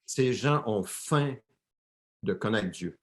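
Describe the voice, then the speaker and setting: A man's voice: lowish voice, lively intonation, slow. A man interpreting a sermon live for a church, often repeating and correcting words as he translates.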